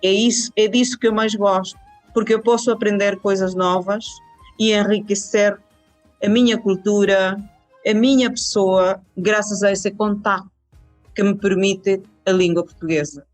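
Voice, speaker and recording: A woman's voice: mid-range voice, steady tone, normal speed, faint music behind. Earnest Venezuelan woman guest. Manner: warm, earnest, with repeated restarts. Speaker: university department head. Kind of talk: interview answers.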